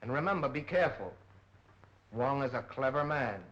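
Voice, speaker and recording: A man playing a menacing, commanding spy ringleader who scolds underlings in a deliberate manner, in a 1930s film. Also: mid-range voice, animated, fairly bright tone, lightly acted. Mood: cautioning. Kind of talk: 1930s film villain acting. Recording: muffled old 1930s film soundtrack.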